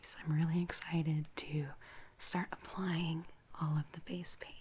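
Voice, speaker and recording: A woman whispering gently and haltingly in a soft-spoken ASMR personal-attention roleplay, playing an attentive makeup artist. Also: low voice, level tone, slight background noise. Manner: gentle, hushed, unhurried, halting with soft pauses.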